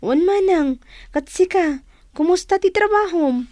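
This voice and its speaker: A woman's voice: very high voice, animated, unhurried, darkish tone, no echo. A woman playing an eager, polite younger sister in a radio drama, respectful and upbeat. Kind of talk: Philippine radio drama acting.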